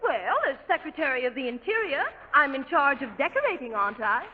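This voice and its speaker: A woman's voice: sits high, sing-song, brisk. A woman playing an airy, affected society lady in government in a 1930s radio comedy sketch. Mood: gushing. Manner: gushing, affected. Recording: reverberant, muffled, noisy 1930s radio recording.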